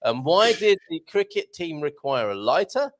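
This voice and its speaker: A man's voice: on the high side, very animated, moderate pace, a little hiss or hum. A man hosting a live chat show, joking around, laughing often and reading out viewer comments. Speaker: host.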